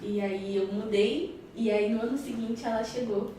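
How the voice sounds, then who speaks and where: A woman's voice: a little high, fairly even intonation, normal speed, warm tone, a little hiss or hum. Young woman student, plain talk, far mic, really echoey. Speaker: high school student. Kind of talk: documentary interview. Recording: very echoey and distant.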